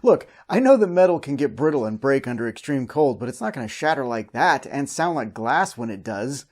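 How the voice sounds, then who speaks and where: A man's voice: mid-range voice, big pitch swings, brisk, darkish tone. A man hosting a recap and review show, delivering scripted comedic narration.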